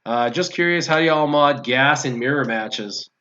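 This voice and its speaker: A man's voice: mid-range voice, normal speed, pushed and bright, slight background noise. A man chatting casually as a guest on a gaming stream, explaining things step by step as he answers viewers' questions. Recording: dull lo-fi stream audio.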